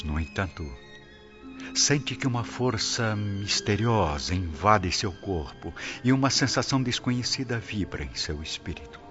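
Casting: solemn male narrator, very deep voice, Brazilian accent, dramatic storytelling over faint music. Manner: solemn, measured. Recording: dull lo-fi recording with music bed. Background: soft music.

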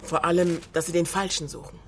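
A woman's voice: fairly low pitch, level tone, brisk, natural voice. An actress playing a direct, insistent young woman in a radio drama, with faint background noise. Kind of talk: naturalistic radio drama acting.

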